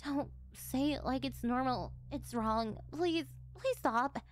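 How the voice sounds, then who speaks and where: A woman's voice: high voice, slow. A woman voicing an exasperated, easily embarrassed teenage daughter in an anime-style audio roleplay, protesting emphatically. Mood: upset.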